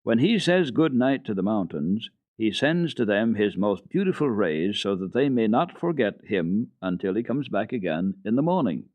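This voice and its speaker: A man playing a wise, gruff old mountain grandfather who explains things in a measured, firm way, from an old radio recording. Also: fairly low pitch, very animated, no room sound, narrow, tinny audio. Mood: gentle explaining.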